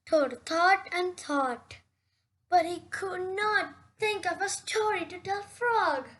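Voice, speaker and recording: A woman narrating a children's storybook aloud in a deliberate, simple storytelling delivery. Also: very high-pitched, fairly bright tone. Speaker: storybook reader.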